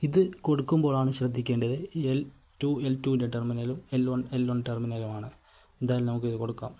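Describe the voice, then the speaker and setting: Male Malayali tutorial host, medium pitch, deeply muted warm tone, plain instructional delivery. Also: flat delivery, brisk. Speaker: host of a repair tutorial channel. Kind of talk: step-by-step how-to narration.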